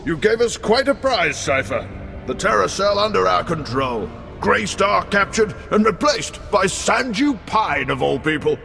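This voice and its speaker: Excitable spy analyst, bright creaky voice, theatrical, effusive, video game. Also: male voice, high-pitched, full character voice. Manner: effusive, repeats himself, theatrical. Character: excitable, gushing male intelligence analyst, eager to please. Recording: long reverb, music behind.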